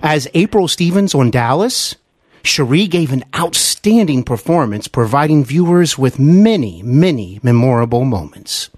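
An American man hosting a podcast, reading an introduction in an effusive, deliberately phrased way. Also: medium pitch, expressive, darkish tone, no echo, studio quality. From United States. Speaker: podcast host.

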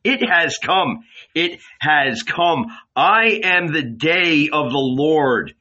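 A man voicing a zealous, enraged prophet who declaims and shouts. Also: on the high side, lively intonation, takes it slowly, highs cut off, pitched above the performer's own voice, heavily acted. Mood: fiery.

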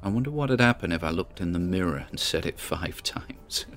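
A man's voice: low voice, expressive, a bit bright, faint music behind. An actor playing a weary, spooked man obsessed with a mystery, rambling and muttering through his audio diary. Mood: wry, baffled. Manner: rambling, muttering, halting, self-questioning. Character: obsessive, spooked, weary amateur male investigator recording audio logs. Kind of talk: audio diary drama monologue.

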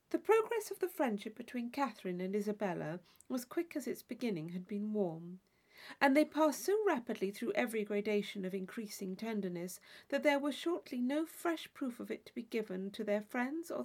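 A woman reading a classic novel aloud as scripted narration, in a measured, expressive storytelling manner. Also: medium pitch. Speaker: audiobook reader.

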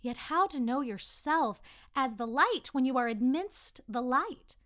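A woman playing a kindly, wise and amused God who explains things gently and deliberately in a children's story. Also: sits high, expressive, voice pushed up. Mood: patient, explaining.

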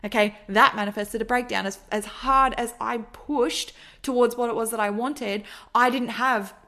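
A young woman talks alone into a podcast mic, earnest and circling back on her points with lots of "like" and "okay." Her voice sits a little higher than most women's. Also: fairly even intonation, normal speed, sharp tone. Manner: earnest, repetitive, filler-heavy with frequent "like". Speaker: podcast host and mentor. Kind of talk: solo podcast monologue.

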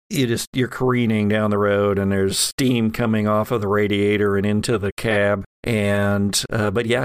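A man working as game master, improvising halting but vivid narration for a tabletop horror game on a podcast. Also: lowish voice, gravelly, clear, resonant tone, no room sound.

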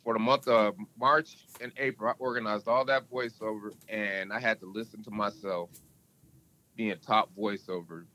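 Animated man telling stories, bright tone. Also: moderate pace. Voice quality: can go deep. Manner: animated storyteller, emphatic. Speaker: podcast guest. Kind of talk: casual interview talk.

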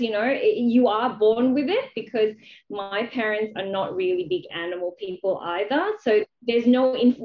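A woman from Malaysia talking casually and explaining her experience as an interview guest, often adding "you know" as she goes. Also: medium pitch. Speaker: charity founder, interview guest. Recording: dull, roomy, echoey sound.

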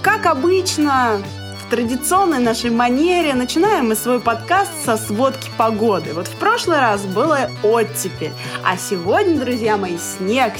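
A woman hosting a podcast with a playful, teasing, theatrical manner, chatting casually with her co-hosts. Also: a little high. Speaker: podcast host. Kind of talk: playful scripted-sounding intro and casual banter.

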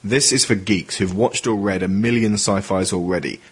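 A man's voice: fairly low pitch, moderate pace, slightly rough. A man reading scripted narration as the narrator of an audio drama. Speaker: narrator and project creator. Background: music.

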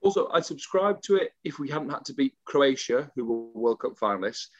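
A young English man co-hosting a podcast in casual chat, ranting with animated, emphatic energy. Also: mid-range voice, a little fast, dry recording. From England. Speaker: podcast co-host. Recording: dull dry home mic.

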